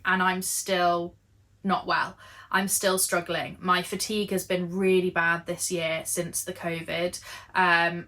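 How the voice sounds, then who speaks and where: A British woman giving a candid, chatty life update with a croaky voice and lots of filler words. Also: mid-range voice, pushed and bright. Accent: British English. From United Kingdom. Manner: candid, earnest, with frequent fillers. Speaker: vlogger. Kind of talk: chatty, honest life update while knitting.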